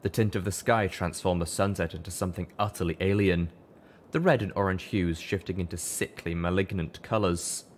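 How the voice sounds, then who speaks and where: Male narrator, low pitch, scripted first-person storytelling, audiobook reading. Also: steady tone, fairly quick, slight background noise. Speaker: audiobook reader.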